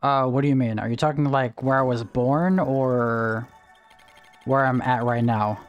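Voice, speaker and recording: A man's voice: medium pitch, measured pace, dull audio, soft background music. Chatty young man streaming a game. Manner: chatty, greets viewers and explains game items. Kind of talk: casual livestream chat while gaming.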